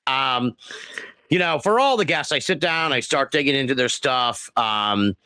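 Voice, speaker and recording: American male interviewer, bright forceful tone, effusive and rambling, close dry sound. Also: mid-range voice, lively intonation, unhurried, clear, resonant tone, no echo. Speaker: podcast host, interviewer. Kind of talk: casual interview chat.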